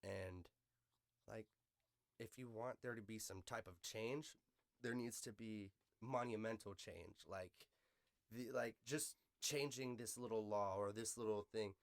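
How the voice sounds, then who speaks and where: A man's voice: fairly low pitch, measured pace, no echo, studio quality. An American man hosting a podcast in casual, slangy, profanity-sprinkled conversation, giving his opinions in a loose, unscripted way. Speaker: podcast host.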